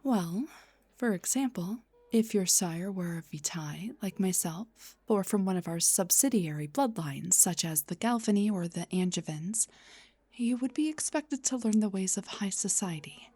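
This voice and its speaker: Woman acting a breathy, gentle vampire doctor. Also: mid-range voice, lively intonation, measured pace, dry recording. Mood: explanatory, gentle. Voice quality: breathy, whispery. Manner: polite, formal, slightly hesitant. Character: gentle, courteous vampire doctor, refined and caring. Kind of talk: soft-spoken ASMR roleplay monologue.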